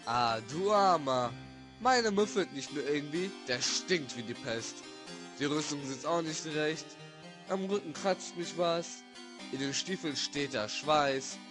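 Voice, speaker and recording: A man's voice: on the high side, expressive, unhurried. A man playing a loyal young thief and stage actor in an amateur audio drama. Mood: casual, playful. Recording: loud music under the voice.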